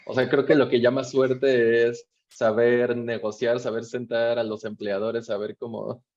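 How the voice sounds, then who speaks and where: A man's voice: medium pitch, little pitch movement, unhurried, darkish tone. Mexican man moderating a talk, muffled sound. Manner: friendly, encouraging, with frequent hesitations. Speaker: moderator, interviewer. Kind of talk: conversational talk, reading audience questions.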